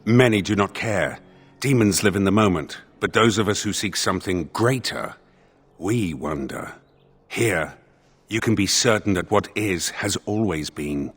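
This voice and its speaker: An actor playing a solemn spirit of justice in a dead man's body for a video game, speaking formally and with measured thought. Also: deep voice, lively intonation, breathy edge, full character voice. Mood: pondering.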